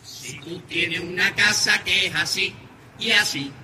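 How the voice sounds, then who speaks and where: High-pitched Andalusian man in comic carnival song. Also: a little slow, pushed and bright, slight background noise. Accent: Andalusian, from Cádiz. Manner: declamatory, rhyming delivery. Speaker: singer in a comic carnival quartet. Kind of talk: theatrical comic carnival singing and recitation.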